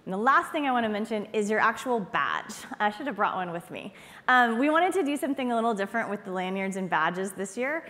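A woman's voice: mid-range voice, big pitch swings, a little fast, fairly bright tone. Upbeat woman presenter, keynote stage, long hall reverb. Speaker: conference presenter. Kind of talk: keynote speech. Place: large conference hall stage.

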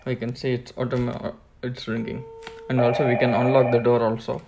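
Male tutorial presenter, gravelly mid-pitched voice, plain step-by-step product walkthrough. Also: completely flat delivery, fairly quick, very noisy. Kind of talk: hands-on product setup walkthrough.

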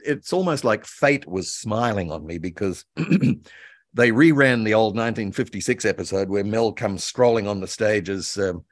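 An older man around seventy, reminiscing in an interview in a halting way, repeating words as he thinks. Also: lowish voice, no room sound, clean studio sound. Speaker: guest expert, author and voice actor. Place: indoor room by a window, video call.

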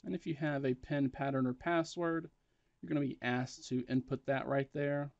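A man's voice: medium pitch, steady tone, moderate pace, creaky, darkish tone, no room sound. Man giving a dry, muffled tech tutorial. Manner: plain, instructional, matter-of-fact. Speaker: tutorial presenter. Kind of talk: step-by-step spoken tech tutorial.

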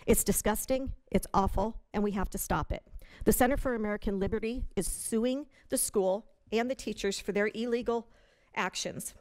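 Brief: woman commenter, mid-pitched, indignant reading at a podium mic in a big hall. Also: moderate pace, a little mellow. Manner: indignant, reading from prepared text. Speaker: public commenter. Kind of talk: public comment reading news stories aloud. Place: large hotel meeting room.

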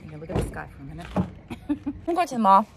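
A woman's voice: mid-range voice, very animated, fast, background noise. A young woman vlogging casually with her kids, chatty and laughing often, filming on a phone held at arm's length. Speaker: vlogger mom. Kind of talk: casual vlog chat. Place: car, parking lot and mall play area. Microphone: phone camera held at arm's length.

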